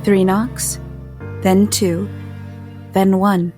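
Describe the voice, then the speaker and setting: Apprehensive heroine over music. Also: female voice, mid-range voice, level tone, extremely slow. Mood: hushed, uneasy. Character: apprehensive but resolute female narrator-heroine. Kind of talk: first-person audio drama narration. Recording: music under the voice.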